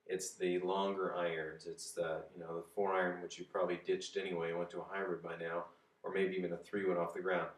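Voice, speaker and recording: A man in his forties, hoarse from a cold, casually giving golf swing tips while demonstrating at home. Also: low voice, little pitch movement, a little fast. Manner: easygoing, demonstrating swing positions while talking. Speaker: golf coach. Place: home kitchen and dining room, indoors. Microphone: far camera. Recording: echoey room, voice from a distance.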